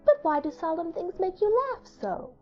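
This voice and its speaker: Woman voicing a high, solemn little girl. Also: lively intonation, normal speed, dark tone, muffled recording, faint music behind. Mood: solemn, questioning. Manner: earnest, serious.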